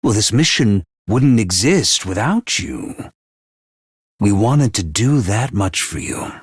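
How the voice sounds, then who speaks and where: A man voicing an excitable, theatrical mascot commander of a military school in a video game, speaking emphatically. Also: on the low side, takes it slowly, breathy edge, dry recording, clean studio sound, full character voice. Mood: gentle, apologetic. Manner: theatrical, emphatic, swinging from grave to bombastic. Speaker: commander addressing students. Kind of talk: animated video game voice acting.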